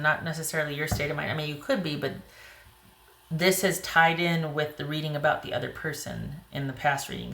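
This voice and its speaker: A woman reading tarot cards to camera in a casual way, a little hoarse, thinking aloud as she pulls the cards. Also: low-pitched, a bit bright, roomy sound. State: slightly hoarse. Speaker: tarot reader.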